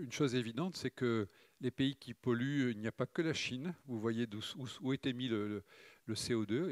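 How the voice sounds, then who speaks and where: A middle-aged man from Provence gives a conference talk over slides, speaking in a measured way and pausing often with fillers. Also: medium pitch, a little mellow, no room sound. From Morocco, raised in Provence. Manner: measured, hesitant with frequent "euh" fillers.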